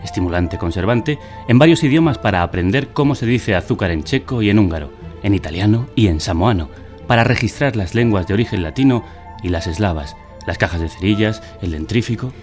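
A man's voice: fairly low pitch, expressive, brisk, a little mellow, close to an everyday voice. A man acting as a declamatory narrator in scripted radio theatre, reciting. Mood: deliberate, enumerating. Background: music.

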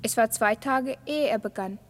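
A woman's voice: a little high, normal speed, lightly acted. An actress playing a young girl who narrates in a simple, lyrical way in a poetic radio drama. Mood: quiet, grave.

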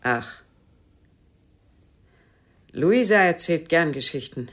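A woman's voice: low-pitched, lively intonation, slow pace, full character voice. A woman acting a polite, wistful widow and former teacher in a German radio play, speaking courteously and hesitantly.

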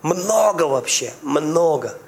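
Pastor, male, high voice, animated preaching, quizzes the congregation. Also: slow, gravelly, slight background noise. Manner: lively preaching with questions to the congregation; speaks in tongues. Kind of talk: sermon.

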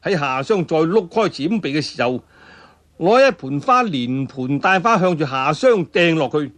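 Animated high-pitched Cantonese male storyteller. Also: moderate pace. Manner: dramatic, colloquial, with spoken sound effects and interjections. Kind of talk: traditional Cantonese storytelling, animated martial-arts narration.

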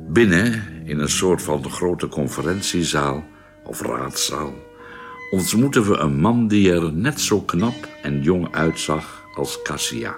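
Spaceman narrator, very low voice, slow deliberate delivery, radio play, soft music underneath. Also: full character voice. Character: measured spaceman recounting his journey as narrator. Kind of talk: scripted radio drama narration.

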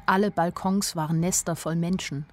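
An actress playing a naive, sharp-eyed young woman who tells her own story in a radio play, artless and plain-spoken. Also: lowish voice, steady tone, normal speed, close to an everyday voice. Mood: wry. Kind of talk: first-person radio play narration.

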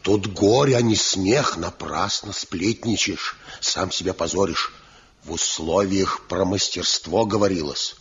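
A man's voice: low-pitched, measured pace, a bit bright, breathy edge, heavily acted. A pious elder master craftsman. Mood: pleading. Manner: earnest, pleading, reproachful. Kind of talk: 1970s radio play acting. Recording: dull lo-fi old radio recording.

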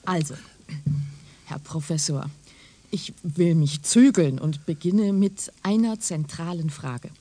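A woman's voice: sits low, slow. A woman acting a deferential, eager young television reporter in a radio drama, courteous and persuasive. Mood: curious. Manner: courteous, persuasive, formal, sometimes hushed.

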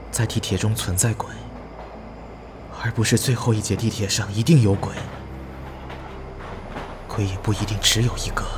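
A man acting a low-voiced, wary horror protagonist. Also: background noise, lightly acted. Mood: brooding, analytical. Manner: hesitant, brooding. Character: wary, anxious man deducing which passenger is a ghost. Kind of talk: suspenseful inner monologue in audio drama.

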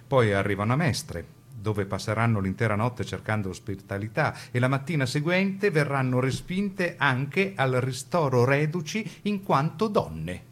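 A man's voice: medium pitch, expressive, measured pace, few pauses. A man narrating a historical account for a radio drama, in documentary style.

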